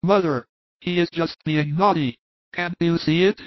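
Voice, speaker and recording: A man's voice: a little high, fairly even intonation, unhurried, dry recording, dull audio, voice pushed up, lightly acted. A man voicing an earnest, somewhat irritable grown son. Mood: annoyed. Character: irritable grown son, annoyed then contrite.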